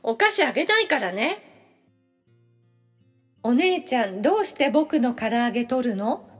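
A woman voicing a whiny, excitable ten-year-old boy who pleads childishly in a family audio drama. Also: fairly high pitch, measured pace, husky, big-room sound, soft background music, full character voice. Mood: indignant.

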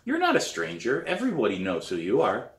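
A man's voice: mid-range voice, wildly swooping pitch, a little fast, distant mic. A man voicing an eager, boastful young girl drummer in a storybook reading, pleading and insistent. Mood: nervous, insistent. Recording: roomy, echoey living room.

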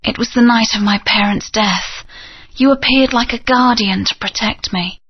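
An actress playing a brave young female adventurer with an earnest, reassuring delivery and a slightly husky voice. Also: on the high side, moderate pace. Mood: tender. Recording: muffled lo-fi game audio.

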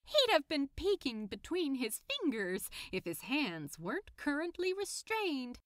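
A woman narrates fiction in an expressive audiobook style. Her voice is bright and lively in pitch, with an unhurried pace. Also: no room sound, clean studio sound.

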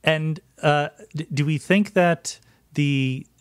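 A man's voice: fairly high pitch, slow pace, clean tone, no room sound, clean studio sound. A middle-aged man hosting a podcast, asking curious, casual questions with fillers. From United States. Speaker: podcast host. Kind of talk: conversational science interview.

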